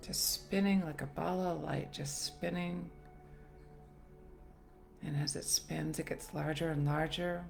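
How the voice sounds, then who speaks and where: Slow, low-voiced woman guiding meditation. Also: fairly even intonation, vocal fry. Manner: soothing, gentle, unhurried with long pauses. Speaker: meditation guide. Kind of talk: guided meditation narration. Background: soft background music.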